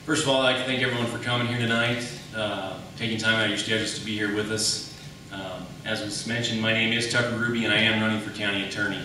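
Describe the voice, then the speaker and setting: A man with a sharp, medium-pitched voice speaks at a political debate in a heavily echoing room, some way from the mic. He is a candidate from Wyoming and sets out his points deliberately, pausing for emphasis. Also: level tone. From Wyoming, United States. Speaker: political candidate, private attorney. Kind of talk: campaign debate speech. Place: public debate in an echoey indoor room, mic at a distance. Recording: echoey room, distant mic, faint noise.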